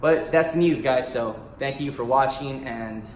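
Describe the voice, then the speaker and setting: A man's voice: mid-range voice, a little slow, a little hiss or hum. A man in his thirties, an actor and author whose first language was Spanish, talking in a casual, eager vlog style with plenty of "like" and "you know.". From United States. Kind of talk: casual self-promo vlog. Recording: roomy echo in a small room.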